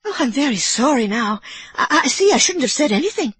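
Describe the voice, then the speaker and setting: A woman's voice: sits high, very animated, fairly quick, fairly bright tone, husky, no echo, voice pushed up, exaggerated voice. A woman voicing an anxious elderly housekeeper woman with an Irish brogue, apologetic and deferential. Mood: hesitant. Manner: apologetic, deferential, sir-ing constantly.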